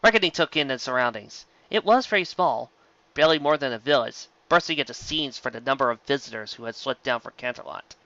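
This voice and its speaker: A man narrates a story in a bright, forceful voice. He reads like an amateur, occasionally tripping on a word and going back. The recording is very dry and somewhat dull. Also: mid-range voice, expressive, brisk, no echo. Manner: stumbles and rereads words. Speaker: amateur audiobook reader.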